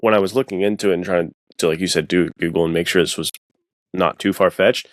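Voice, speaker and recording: A man speaking as a guest author in a casual podcast chat, informal and halting, often correcting himself as he goes. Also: deep voice, steady tone, dry recording. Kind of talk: casual podcast discussion of fiction writing.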